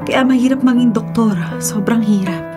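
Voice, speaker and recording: An actress playing a weary, sympathetic doctor at a public hospital in a Philippine radio drama. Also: female voice, fairly high pitch, normal speed, over music, natural voice.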